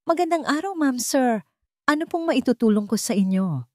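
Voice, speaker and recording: A woman's voice: high voice, animated, moderate pace, dark tone, no room sound, studio quality, pitched above the performer's own voice. A woman voicing a boastful, ingratiating male pawnshop owner in his sixties in a story. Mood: welcoming. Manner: boastful, eager to please.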